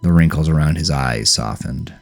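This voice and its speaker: A man's voice: a bass voice, normal speed, vocal fry, faint music behind. A man reading scripted horror fiction as an audiobook narrator.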